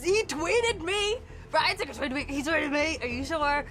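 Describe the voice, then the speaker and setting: A young actress in a behind-the-scenes interview, talking in a bubbly, excitable way. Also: female voice, high voice, measured pace. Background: music.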